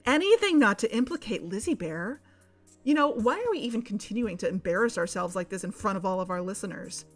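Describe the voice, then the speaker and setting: A woman's voice: medium pitch, expressive, fairly quick, soft background music, close to an everyday voice. A woman playing a blunt, accusing investigator in an audio fiction podcast, with an emphatic, confrontational delivery. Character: exasperated, blunt, accusing young female investigator.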